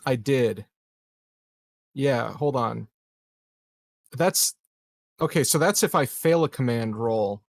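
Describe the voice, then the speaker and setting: A man playing a tabletop roleplaying game, chatting casually and thinking aloud in a halting way. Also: medium pitch, animated, vocal fry, no echo, studio quality.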